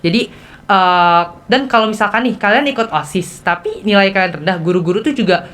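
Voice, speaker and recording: A teenage girl with a Jakarta Indonesian accent hosting a student podcast in a casual, halting, conversational way. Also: mid-range voice, lively intonation, a bit bright. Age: teens. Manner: halting, hesitant delivery with repeated self-interruptions.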